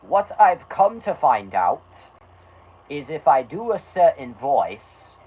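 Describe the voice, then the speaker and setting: A man's voice: on the high side, big pitch swings, slow, a little mellow, husky, low-quality recording. A man from the United States with a sore throat, chatting casually and theatrically. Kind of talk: casual film review chat.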